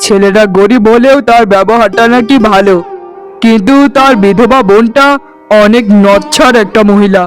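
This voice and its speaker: A stern but soft-hearted cartoon family man, acted by a man. His voice is high for a man and his delivery is emphatic and scolding. Music sits behind it. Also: moderate pace, lightly acted. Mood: resigned. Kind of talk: Bengali cartoon voice acting.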